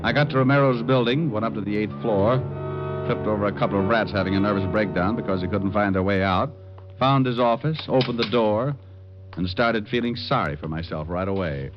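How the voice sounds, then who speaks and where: Guy doing a cocky american gumshoe from old radio drama, low voice, wry, muffled sound. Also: brisk, lightly acted. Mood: wry narrating. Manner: wry, hard-boiled, breezy. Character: wisecracking, cocky, tough male private detective. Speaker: lead actor and narrator of a radio detective show. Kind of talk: old-time radio detective drama acting. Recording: dull old radio recording with music.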